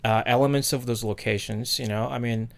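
A man talks through a podcast in easy, meandering casual chat. He stops often on fillers and restarts. His voice sits at an ordinary middle pitch for a man. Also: steady tone, a little fast, gravelly. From South Korea. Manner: loose and rambling, many fillers and restarts. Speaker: podcast co-host.

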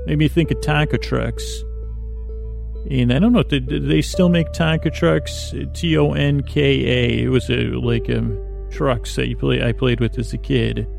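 Gravelly, rambling male sleep podcast host. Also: medium pitch, fairly even intonation, over music. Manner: meandering, halting, many fillers and restarts; soft and gentle. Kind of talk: rambling bedtime podcast intro.

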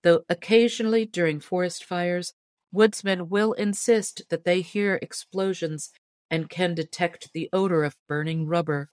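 A woman's voice: lowish voice, no room sound. Woman narrating a folk tale, dry sound. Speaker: audiobook narrator. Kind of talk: scripted storybook narration.

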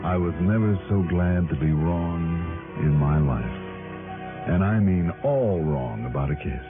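An American actor playing a terse, brooding private investigator in a 1960s radio drama, with a laconic, dry manner. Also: male voice, deep voice, a little slow, phone-quality sound. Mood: relieved. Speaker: lead actor in a radio detective serial. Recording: band-limited old radio broadcast with music.

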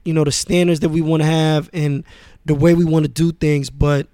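A man born in France and raised in Queens, speaking as a guest in a relaxed, casual interview. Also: fairly high pitch, normal speed, no room sound, clean studio sound. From born in France, raised in Queens, New York. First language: Arabic. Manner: relaxed, laid-back, with many fillers. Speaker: guest, music manager.

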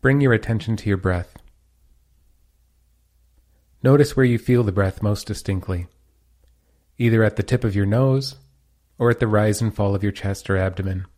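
A man leading a guided meditation as a teacher, giving calm, soothing instructions. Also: on the low side, normal speed, pauses often.

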